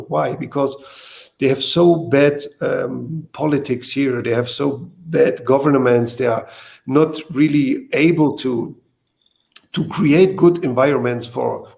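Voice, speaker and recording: A man from East Germany, a native German speaker talking with a German accent, who speaks in a halting way, repeats words and uses non-native phrasing as a guest on a video call. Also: medium pitch, gravelly, a little mellow. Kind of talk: casual interview talk.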